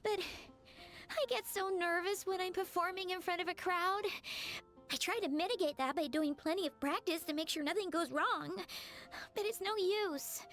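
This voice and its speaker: A nervous young woman in an anime game, an aspiring bard. The voice is extremely high, earnest and hesitant, often apologetic. Music plays softly behind. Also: moderate pace. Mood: wistful. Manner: hesitant, apologetic, breaks off and restarts.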